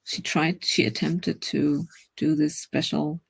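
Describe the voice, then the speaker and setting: A woman's voice: sits low, little pitch movement, takes it slowly, creaky, husky, no room sound. A middle-aged German woman with a German accent, chatting casually and rambling as she hosts a writing livestream, often laughing.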